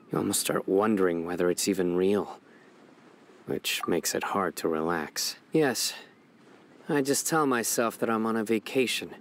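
An actor playing a calm, serious young warrior judge in a video game, speaking in a measured, earnest, reserved way over music. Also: male voice, lowish voice, lightly acted. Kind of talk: scripted video game character dialogue. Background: background game music.

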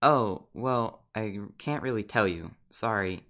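A man's voice: lowish voice, expressive, slow pace, a bit bright. A man voicing a teenage schoolboy in an amateur game movie, laid-back and a bit cocky. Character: teen schoolboy Jacob, cocky yet guarded.

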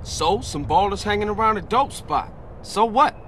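High, swooping young gangster. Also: male voice, expressive, moderate pace. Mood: alarmed. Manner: blunt, slangy, emotional. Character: hot-headed young male gangster, loyal and wary. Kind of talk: video game cutscene acting. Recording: clear street and car noise.

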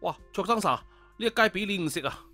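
Man voicing a high, nosy talking weasel. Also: fast, pushed and bright, no room sound, faint music behind. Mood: greedy. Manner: inquisitive, chatty. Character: talking weasel, nosy, kind-hearted and greedy.

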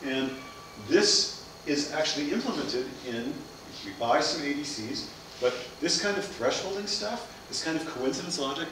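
A man's voice: a little high, expressive, normal speed, distant mic. A middle-aged man giving a science cafe lecture, explaining ideas by asking questions and answering them. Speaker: physics lecturer. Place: seminar room with projector screen. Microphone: far camera mic. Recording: echoey room recorded from afar, noisy.

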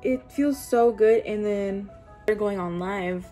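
A woman's voice: mid-range voice, slow pace, mellow, soft background music. Chatty young woman in her twenties. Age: 20s. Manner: chatty, candid, swears often, lots of "like". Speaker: vlogger. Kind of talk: casual get-ready-with-me chat. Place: bedroom, indoors. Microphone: camera-facing, doing makeup at a desk.

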